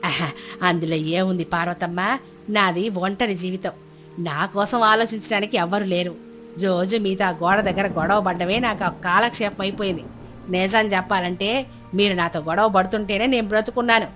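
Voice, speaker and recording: A woman plays a kind, practical old village woman in a storybook tale. She speaks in a homely, pleading and reassuring way, forgiving and a little lonely. Music plays softly underneath. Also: medium pitch, steady tone, normal speed, lightly acted. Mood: wistful. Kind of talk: moral storybook character dialogue.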